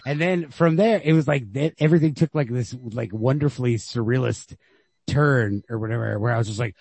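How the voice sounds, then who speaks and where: A man's voice: medium pitch, brisk, gravelly, a little mellow, breathy edge, dry recording. A man hosting a podcast, casually discussing a story in a rambling way, retelling it with quoted reactions of his own. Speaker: podcast host. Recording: lo-fi home podcast recording.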